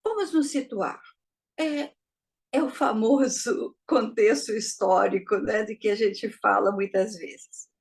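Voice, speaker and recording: A woman giving a teaching talk, measured and posing rhetorical questions to her listeners. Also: fairly high pitch. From Brazil. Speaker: spiritist lecturer. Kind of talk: didactic talk to an audience.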